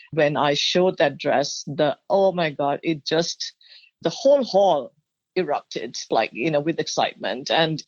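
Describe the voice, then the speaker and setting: A mature woman from Thailand telling her own story as an interview guest, animated and expressive. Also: sits low, no room sound. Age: middle-aged or older. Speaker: guest, bridal designer. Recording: dull, lo-fi sound.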